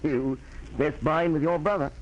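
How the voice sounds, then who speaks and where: An actor playing a formal, precise oil surveyor reporting to a client in a 1940s radio drama. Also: male voice, a little high, fairly even intonation, normal speed, natural voice. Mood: formal, emphatic. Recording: dull old 1940s radio recording.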